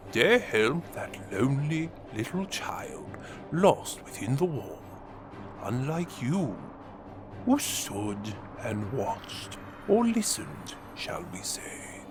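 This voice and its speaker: Guy doing a sinister theatrical villain monologue, gleeful n wry, highish voice that swoops all over, music behind it. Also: exaggerated voice. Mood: brooding menace. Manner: theatrical, sinister, wry, savouring each phrase. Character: gleefully menacing male villain, a time-war veteran renegade. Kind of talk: dramatic scripted monologue, fan audio drama.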